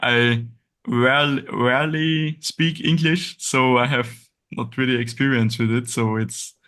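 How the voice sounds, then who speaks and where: Guest: Austrian-accented man, German native, halting English, frequent restarts, mid-pitched voice. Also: fairly even intonation, pushed and bright. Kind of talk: casual interview chat.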